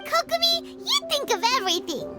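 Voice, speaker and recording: A tiny, bubbly game companion, squeaky and extremely high. The eager, childlike voice bounces wildly in pitch over loud music. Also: female voice, sing-song, a little fast. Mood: admiring. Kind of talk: video game voice acting.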